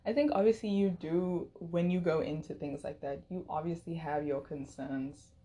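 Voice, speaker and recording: A young woman co-hosting a casual podcast from her dorm room, with a low, soothing voice and a relaxed, agreeable manner. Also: normal speed. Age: young adult. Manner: relaxed, agreeable, many backchannels. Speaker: podcast co-host. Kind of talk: casual chat.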